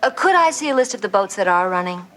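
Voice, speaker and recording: An actress playing an anxious, insistent wife in a vintage television drama. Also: female voice, medium pitch, very animated, quick pace, fairly bright tone. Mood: anxious, pleading. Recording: noisy old television soundtrack.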